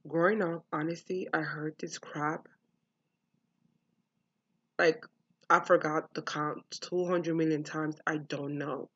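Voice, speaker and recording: A woman's voice: sits low, steady tone, slow, pauses often, dull audio. A young adult woman from the United States talking bluntly and frankly to camera about her upbringing, swearing freely. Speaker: vlogger. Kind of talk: candid personal monologue.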